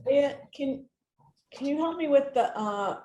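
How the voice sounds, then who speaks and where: Higher-voiced woman, echoey room. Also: animated, unhurried, dark tone, highs cut off. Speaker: art student.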